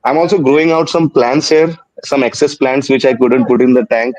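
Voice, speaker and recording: A man's voice: medium pitch, steady tone, fairly quick, a little mellow, dry recording. A man calling into a live stream over video, talking hesitantly with restarts and nervous laughs. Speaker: caller. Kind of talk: casual video call chat. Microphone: video call. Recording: dull lo-fi video call audio.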